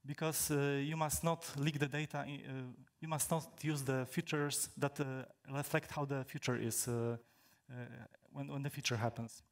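A young man from Poland, a native Polish speaker, presents to a crowd from a stage in a big indoor hall. He talks into a handheld microphone at a middling pitch. He stops and restarts often and fills the gaps with "uh". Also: steady tone. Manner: halting, many fillers and restarts. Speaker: conference speaker. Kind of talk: technical talk to an audience.

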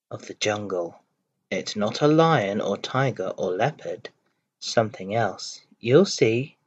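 A man performing an amateur audio drama with a slow, plain, unpolished delivery. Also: lowish voice. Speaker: amateur voice actor. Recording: dull lo-fi home recording with clear hiss.

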